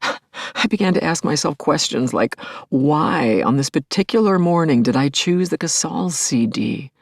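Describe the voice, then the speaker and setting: A woman's voice: low-pitched, lively intonation, a little slow, no room sound, studio quality, full character voice. An actress playing a grieving middle-aged widow in an audio play, telling her story in a searching, intimate way that can drop toward a whisper. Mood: wondering, hushed. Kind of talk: dramatic first-person monologue, audio play.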